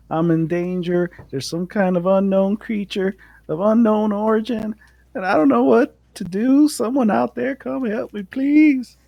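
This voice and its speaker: A man's voice: sits high, gravelly, dark tone, no echo. Man playing a frightened colonist pleading for help. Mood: pleading, panicked. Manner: stammering, pleading. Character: frightened stranded colonist begging for help over intercom.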